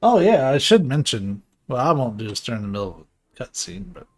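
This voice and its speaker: A man's voice: mid-range voice, big pitch swings, fairly quick, vocal fry, a little mellow. Casual, rambling male game streamer. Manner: informal, rambling, joking. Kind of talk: casual live game commentary. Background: faint game music.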